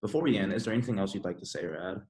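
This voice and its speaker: Male podcast host, formal scripted read, deep voice, echoey room. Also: lively intonation, rapid-fire, darkish tone.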